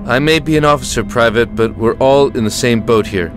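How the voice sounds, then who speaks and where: An actor playing a tired but steady American army lieutenant in a war audio drama, with measured, reassuring authority. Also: male voice, mid-range voice, over music, natural voice. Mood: steady, weary.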